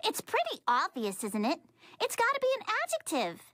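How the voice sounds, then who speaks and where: A woman's voice: very high-pitched, huge pitch swings, moderate pace, fairly bright tone, no echo, full character voice. A woman voicing a cute, naive little superhero girl in a cartoon, earnest and childlike. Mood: earnest explaining. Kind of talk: cartoon voice acting. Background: music.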